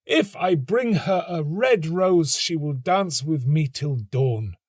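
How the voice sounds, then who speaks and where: A man's voice: a little high, expressive, darkish tone, husky, dry recording, studio quality, pitched above the performer's own voice, exaggerated voice. A man voicing a lovelorn young student in a storybook, plaintive and melodramatic, drawing out his romantic despair. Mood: wistful, self-pitying. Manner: plaintive, melodramatic, drawn-out sighing delivery. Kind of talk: storybook reading.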